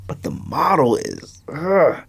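A man's voice: fairly high pitch, wildly swooping pitch, slow, vocal fry, crowd noise. A man co-hosting a casual podcast, giving opinions in an emphatic, exclamatory, slangy way. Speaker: sneaker podcast co-host.

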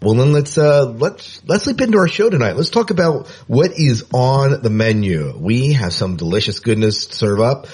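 Casual upbeat guy cohosting a podcast, kinda husky, goes off on tangents. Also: mid-range voice, big pitch swings, normal speed, hardly any pauses, a little mellow. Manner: upbeat, chatty, rambling asides. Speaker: podcast co-host.

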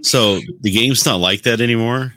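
A man's voice: mid-range voice, normal speed, noisy background. A man on a podcast panel talking casually about video games.

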